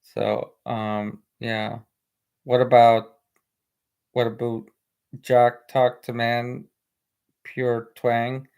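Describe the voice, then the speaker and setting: A man's voice: mid-range voice, completely flat delivery, extremely slow, vocal fry, long pauses, darkish tone, clean tone. A man with an American accent, whose first language is Spanish, chatting casually on a live stream in a rambling way, with many fillers, and reading viewer comments. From United States.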